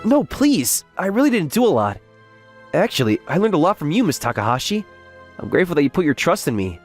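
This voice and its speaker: Man acting an animated, earnest young office worker. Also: a little high, fairly quick, soft background music. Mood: bashful, grateful. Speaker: narrator and lead character. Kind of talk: manga dub acting with first-person narration.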